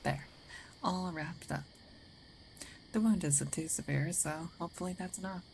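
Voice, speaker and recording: A gruff female werewolf played by a woman speaks very low, with a gravelly creak. She is a territorial yet caring protector, awkward and hesitant, often sinking into half-whispers. It is an intimate ASMR roleplay with faint ambience behind the voice. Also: measured pace, darkish tone, slight background noise, low-quality recording. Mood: matter-of-fact, tending.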